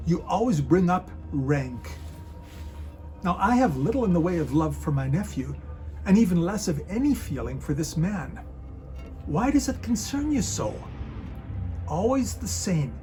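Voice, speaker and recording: A stern Roman soldier played by a man in a passion play, with a fairly high voice and a declamatory, scolding manner. Loyal and impatient, he speaks over clear background music. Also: big pitch swings, moderate pace, dark tone. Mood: irritated, scolding. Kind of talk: dramatic reading of a church passion play.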